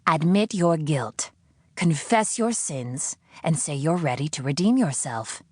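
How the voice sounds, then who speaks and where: A preachy, condescending captor, performed by a woman narrator, speaking with slow, sanctimonious deliberation in an audiobook. The voice is dry and close, lifted a little above a low natural register. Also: animated, husky, no room sound, heavily acted. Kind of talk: audiobook character acting.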